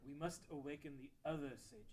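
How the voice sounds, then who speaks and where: A man's voice: on the high side, flat delivery, fast, a little mellow, close to an everyday voice. A man playing a solemn, blunt ancient sage who guards a sacred realm, in a fantasy table read. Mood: solemn counsel. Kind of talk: table read of a fan-written audio drama.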